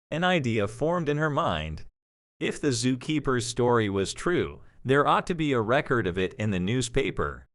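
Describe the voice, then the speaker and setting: A man's voice: medium pitch, a bit bright, no echo, clean studio sound. A man reading a scripted story as the narrator of an audio drama.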